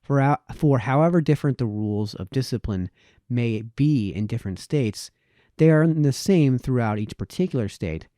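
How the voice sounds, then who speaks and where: A man reading a formal historical essay aloud as narrator, in a deliberate, expository manner with varied emphasis. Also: medium pitch, lively intonation, mellow, no room sound, clean studio sound. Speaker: reader, narrator.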